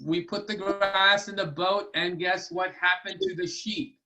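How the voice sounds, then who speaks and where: A man's voice: high-pitched, fairly even intonation, unhurried, low-quality recording. Patient man leading kids through a puzzle. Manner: patient, encouraging, explains step by step. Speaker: children's storytime host. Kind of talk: casual teaching to children.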